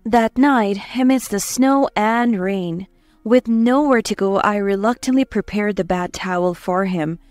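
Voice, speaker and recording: A woman reading a first-person dramatic story as narrator, in an expressive, emotive way. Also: mid-range voice, a little slow, no echo. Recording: dry close voice over faint music. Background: soft background music.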